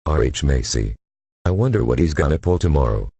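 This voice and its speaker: A man playing an exasperated, forceful assistant district attorney with a husky voice in a radio play. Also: rumbling low, very animated, fairly quick, no room sound, heavily acted. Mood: exasperated, defensive.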